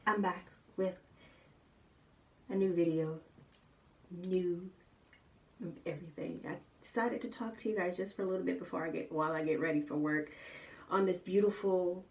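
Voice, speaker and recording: A soft-spoken woman chatting casually to her viewers while getting ready, rambling with many ums and you knows. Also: fairly low pitch, steady tone, normal speed, long pauses. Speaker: vlogger. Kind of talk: casual chat while doing makeup. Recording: roomy, slightly distant home recording.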